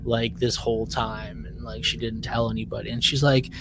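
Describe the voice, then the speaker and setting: A man co-hosting a podcast in a casual recap style, haltingly repeating and rewording his phrases. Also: medium pitch, little pitch movement, a bit bright. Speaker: podcast co-host.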